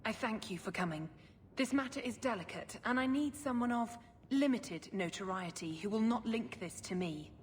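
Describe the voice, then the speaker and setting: An actress playing a pious, scheming middle-aged sister in a video game, speaking in measured, formal phrases. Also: female voice, fairly high pitch, animated, close to an everyday voice. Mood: guarded, urgent. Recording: long hall reverb, clear background noise.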